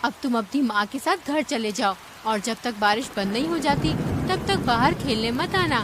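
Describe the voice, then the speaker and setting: Woman voicing an earnest, high-pitched cartoon bird. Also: lively intonation, normal speed, very noisy, natural voice. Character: caring, earnest, righteous female bird of the forest. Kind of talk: Hindi animated animal fable dialogue.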